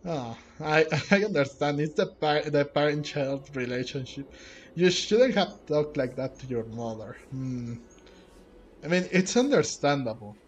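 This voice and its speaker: A man giving casual, halting gameplay commentary as a streamer, often chuckling lightly. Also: on the high side, animated. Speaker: gaming streamer. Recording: game music behind.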